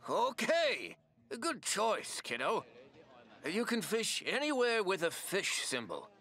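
A man's voice: high-pitched, huge pitch swings, slow, sharp tone, exaggerated voice. A man playing a chipper, folksy old fishing shopkeeper in a video game, with an eager sales manner. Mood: chipper, inviting.

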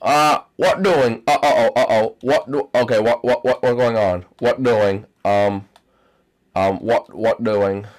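A man's voice: medium pitch, steady tone, measured pace, pitched below the performer's own voice, lightly acted. A man voicing a babyish, whiny young boy character in broken baby talk while playing a game. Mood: confused. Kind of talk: gameplay commentary in character.

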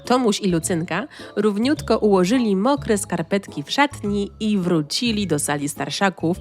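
A woman narrating a children's audio story in an expressive, animated way. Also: medium pitch.

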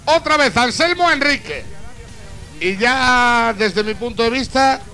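Male radio presenter, lively intonation, bright delivery over music. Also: extremely high pitch, measured pace. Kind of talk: scripted radio storytelling.

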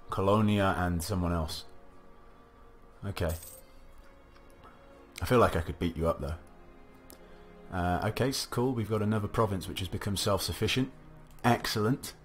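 A young man casually commentating a strategy game, thinking aloud, his voice a little gravelly from a recent cough. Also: low voice, normal speed, long pauses. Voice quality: slightly gravelly. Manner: musing aloud, chatty, self-talking. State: recovering from a cough, slight gravel. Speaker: let's play commentator. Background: faint game music.

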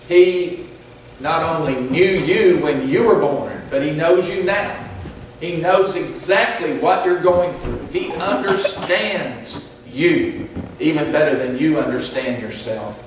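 A middle-aged man with a Southern US accent preaching a sermon at a pulpit, earnest and measured with pauses. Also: fairly high pitch, a little hiss or hum. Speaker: pastor. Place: large church sanctuary, at a pulpit. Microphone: pulpit mic, filmed from far back. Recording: echoey church hall from far off.

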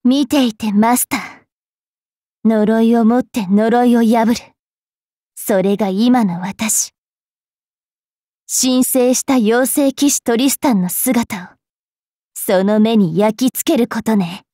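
A woman voicing a bookish, sharp-tongued young fairy witch knight in an anime mobile game, switching between prim politeness and rough taunts. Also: a little high, slow pace, pauses often, no echo, clean studio sound. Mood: proud, resolute.

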